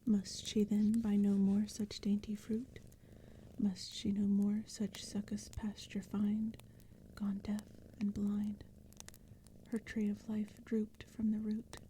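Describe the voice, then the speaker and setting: A woman reading poetry in a soft, breathy ASMR whisper, with a gentle, hushed storytelling manner. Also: medium pitch, completely flat delivery, slow. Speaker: audiobook reader. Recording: faint ambient crackling fire and forest sounds. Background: crackling fire, forest ambience.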